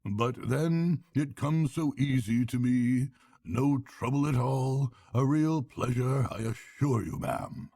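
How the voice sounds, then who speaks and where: A man voicing a pompous, boastful male toad in a storybook reading, gravelly and husky. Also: mid-range voice, lively intonation, slow, warm tone, no echo, pitched above the performer's own voice, exaggerated voice. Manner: airy bragging, turning nervous. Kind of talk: storybook character voice.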